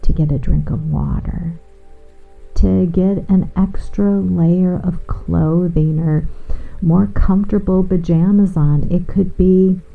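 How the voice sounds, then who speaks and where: Very slow, low-voiced woman guiding sleep hypnosis. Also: steady tone, vocal fry. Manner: soothing, hushed, drawn-out phrases with long pauses. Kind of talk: guided sleep meditation, hypnosis narration. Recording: muffled, band-limited, with music and rain. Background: soft ambient music and gentle rain.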